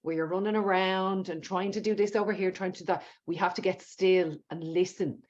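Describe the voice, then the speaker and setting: A woman's voice: on the low side, fairly even intonation, fairly quick, slight background noise. Woman hosting on a dull lo-fi line. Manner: warm, emphatic, repeats words for stress. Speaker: podcast host. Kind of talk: casual conversational interview. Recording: dull lo-fi audio.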